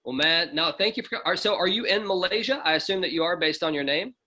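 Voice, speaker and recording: A man hosts a video call in a casual, upbeat way. His voice sits a little high, and his tone is bright and forceful. He greets each speaker warmly and teases them lightly. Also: expressive, fast. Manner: friendly, joking, encouraging. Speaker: host of an online presentation.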